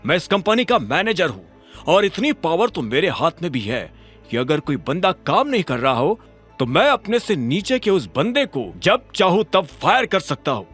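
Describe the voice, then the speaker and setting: A man's voice: a little high, big pitch swings, normal speed, a bit bright, breathy edge, faint music behind, full character voice. A man voicing an arrogant, hot-tempered company manager in an audio drama, pompous and condescending. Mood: indignant. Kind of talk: Hindi audio drama acting.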